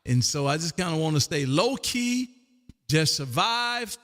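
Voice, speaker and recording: A man's voice: fairly high pitch, big pitch swings, unhurried, fairly bright tone, soft background music. An older man of about sixty hosting an amateur radio stream, rambling casually and folksily, often tagging sentences with "man". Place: home radio room. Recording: long echoing room reverb.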